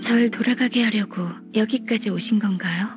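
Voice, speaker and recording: An actress playing a gentle, formal, melancholy half-immortal young secretary in a game, speaking softly with polite, measured resignation. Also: female voice, mid-range voice, natural voice. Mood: resigned, wistful. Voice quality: very breathy, soft. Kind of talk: Korean game voice acting. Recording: music behind the voice.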